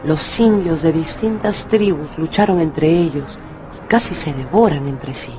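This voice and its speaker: A man's voice: a little high, unhurried, music in the background. A man narrating a radio novel in a scripted, dramatic storytelling style.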